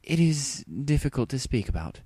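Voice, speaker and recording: A brooding pony princess of the night acted out by a man speaks in grave, archaic phrases with a haughty air. The pitch is lifted above the reader's natural voice, in a dry storybook recording. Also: sing-song, normal speed, warm tone, husky, no room sound.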